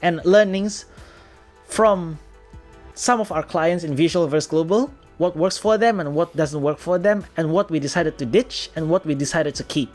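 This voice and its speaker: An Indonesian-accented man with a fairly high voice, co-hosting a relaxed podcast conversation. He leads the topics and explains things plainly and steadily, with faint music behind him. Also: moderate pace. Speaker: podcast co-host. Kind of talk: casual podcast chat.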